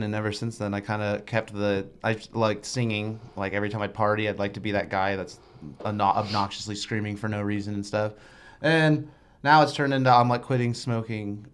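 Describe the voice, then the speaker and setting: Metal singer guest, man with a high shrill voice, rambly and animated, radio studio. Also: clear, resonant tone. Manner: rambling, animated storytelling with restarts. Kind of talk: casual interview chat.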